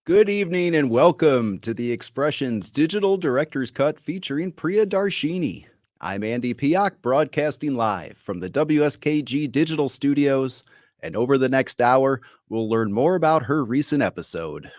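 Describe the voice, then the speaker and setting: A man's voice: medium pitch, very animated, normal speed, no room sound. A man hosting a live interview program from a station studio. He reads a scripted welcome, then talks loosely with many fillers. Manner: friendly, many fillers and restarts when unscripted. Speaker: host.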